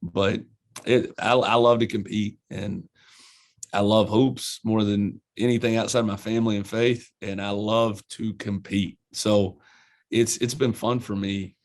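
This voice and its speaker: A man with an Eastern Kentucky country accent speaks in a low, fairly flat voice. He is a college basketball head coach in his forties giving folksy, earnest answers, often doubling words as he restarts a thought. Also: measured pace. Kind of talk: casual interview answers.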